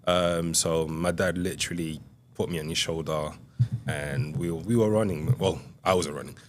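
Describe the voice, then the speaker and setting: A young man from Congo, a podcast guest, telling his life story in a soft, hesitant way with many pauses. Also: low-pitched, fairly even intonation, slight background noise. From Republic of Congo. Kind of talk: reflective interview storytelling.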